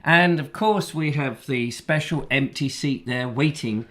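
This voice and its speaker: A man's voice: mid-range voice, a little slow, pushed and bright. A man co-hosting a casual chat show, joking in a silly, rambling, playful way. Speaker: co-host.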